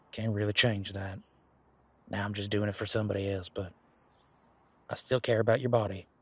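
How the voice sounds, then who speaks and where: A man playing an easygoing, protective werewolf bodyguard in a roleplay audio drama, chatting in casual, halting, folksy phrases. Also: fairly low pitch, slightly rough. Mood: protective, sincere. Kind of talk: roleplay audio drama, conversational monologue to listener.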